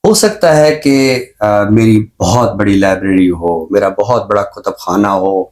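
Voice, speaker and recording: A man with a Pakistani accent speaking as a talk show expert in a reflective discussion, explaining in measured, repeated phrases with examples. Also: fairly low pitch, a little mellow. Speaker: expert guest on a literary and social talk show.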